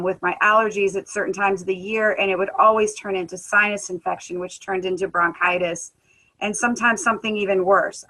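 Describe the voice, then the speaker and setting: Bright-voiced woman sharing an earnest testimony. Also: medium pitch, low-quality recording. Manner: earnest, conversational, frequent um fillers. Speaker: guest speaker, musician. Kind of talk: personal testimony at a wellness presentation.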